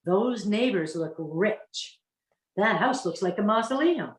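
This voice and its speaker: A woman's voice: mid-range voice, animated, slow. Echoey, bossy lady burglar acted by a woman. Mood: sardonic, wary. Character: bossy, grumbling big-sister burglar, cautious and sardonic. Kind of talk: comic old-time radio play acting. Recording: echoey, roomy pickup.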